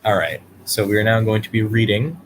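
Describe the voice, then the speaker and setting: A man's voice: lowish voice, brisk, slight background noise. A man hosting and reading a children's bedtime story aloud. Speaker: storyteller and host.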